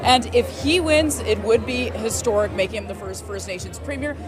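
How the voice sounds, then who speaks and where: A woman's voice: a little high, lively intonation, moderate pace, over music. A woman working as a TV news reporter, giving a live election report.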